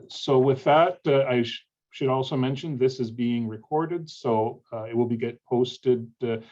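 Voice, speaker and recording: A man introduces speakers on a video call webinar, reading the bios and then pausing often on filler sounds. His audio comes through dull and somewhat muffled. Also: mid-range voice, darkish tone. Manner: halting, many filler sounds. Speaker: webinar moderator.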